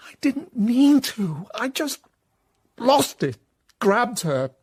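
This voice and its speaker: A guilt-ridden, defensive British husband in a radio drama. His husky voice is strained and anguished, with big swings in intonation. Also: very high voice, sing-song, takes it slowly, full character voice. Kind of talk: emotional radio drama acting.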